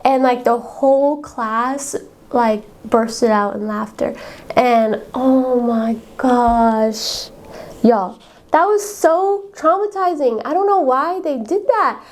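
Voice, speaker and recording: Young female podcast host, high-pitched voice, candid confessional chat with lots of filler words. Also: expressive, a little slow, a little mellow. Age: young adult. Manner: candid and chatty, fillers like "like" and "um", laughs often. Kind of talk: casual personal storytelling.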